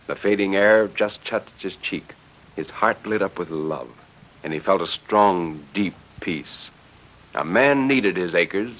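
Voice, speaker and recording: Male narrator, low animated voice, dramatic, literary, 1940s radio storytelling, dull vintage recording. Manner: literary, expressive.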